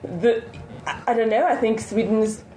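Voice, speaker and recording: A woman from Latvia speaks plainly and directly as a podcast co-host in casual conversation. Her voice sits a little high and rings in an echoey space, with faint ambient noise behind. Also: normal speed, dark tone. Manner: direct, blunt. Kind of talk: casual interview chat. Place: conference venue.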